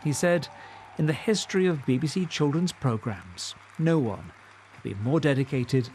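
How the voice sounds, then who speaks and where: A man's voice: mid-range voice, lively intonation, moderate pace, a little mellow, a little hiss or hum. A man reading a scripted narration for a report. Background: music.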